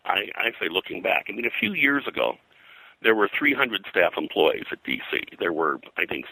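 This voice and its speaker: An older man reminiscing as an interview guest, casually rambling and halting, with false starts and repeated phrases. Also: low voice, gravelly, sharp tone, slightly rough, no room sound, low-quality recording. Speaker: interview guest, veteran comics production artist.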